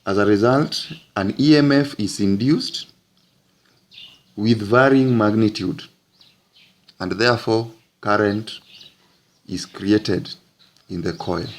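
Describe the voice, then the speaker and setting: A man teaching physics, narrating an explanatory lesson in deliberate, halting phrases with frequent breaks. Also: lowish voice.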